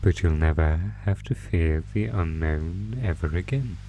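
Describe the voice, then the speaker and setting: A man playing a sadistic monster who taunts captive prey with theatrical, drawn-out menace in an ASMR horror audio roleplay. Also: deep voice, warm tone, a little hiss or hum. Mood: menacing, gloating.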